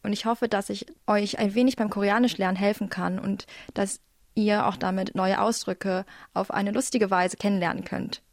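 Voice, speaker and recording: A woman's voice: mid-range voice, steady tone, normal speed, creaky, no room sound, studio quality. A woman in her twenties from Germany, a new presenter, introducing herself in a friendly, upbeat way. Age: 20s. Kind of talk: scripted self-introduction.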